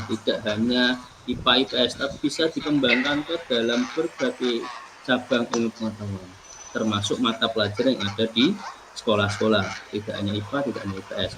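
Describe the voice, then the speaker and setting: A middle-aged man from Pekalongan presents a webinar lesson at an ordinary, middling pitch. He speaks in a measured way, often stopping on fillers while he looks for words. A steady, clearly audible noise runs under his voice. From Indonesia, Pekalongan. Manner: measured, with frequent hesitations. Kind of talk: lecturing from slides in a webinar.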